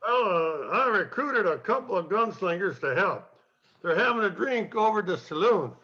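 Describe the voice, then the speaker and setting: Older man plays folksy sheriff; high, bright voice on lo-fi recording. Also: lively intonation, normal speed. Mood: easygoing. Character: folksy, easygoing small-town Wild West sheriff. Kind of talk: amateur old-time radio comedy acting.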